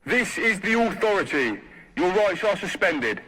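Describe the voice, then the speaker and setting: A man's voice: high-pitched, sing-song, measured pace, heavy background noise. A young man playing an officious, dutiful police constable in a dystopian audio drama. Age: young adult.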